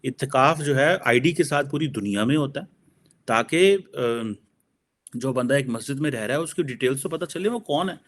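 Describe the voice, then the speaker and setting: A man's voice: medium pitch, lively intonation, normal speed. A man with a Pakistani accent explains his opinions as a political analyst in informal commentary, mixing in English phrases.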